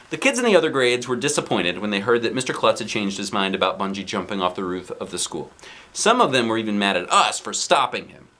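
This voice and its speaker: A man reading a children's storybook aloud in an expressive, animated narrating style. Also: medium pitch. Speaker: storybook reader, dad.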